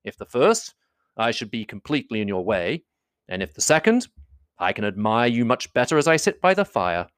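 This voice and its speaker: A man voicing a proud, reserved young gentleman, speaking in a measured, grave way. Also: medium pitch, sing-song, clear, resonant tone, no echo, clean studio sound. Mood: cool.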